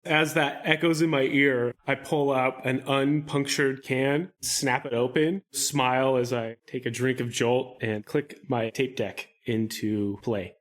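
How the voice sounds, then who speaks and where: A man's voice: medium pitch, steady tone, measured pace, hardly any pauses, a bit bright. A man talking casually as a player in a tabletop game, describing his character's actions in the first person.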